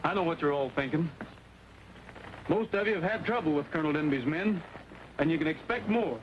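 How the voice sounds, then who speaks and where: An actor playing a principled young American Western hero, earnest and commanding, in an old film. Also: male voice, fairly high pitch, lively intonation, a little fast, lightly acted. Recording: muffled, noisy old film soundtrack.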